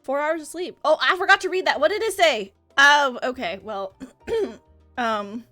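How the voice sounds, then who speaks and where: A woman's voice: high-pitched, measured pace, sharp tone. A chatty woman streaming a game live, greeting her viewers effusively. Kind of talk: livestream chat and reading game dialogue aloud. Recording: music behind the voice.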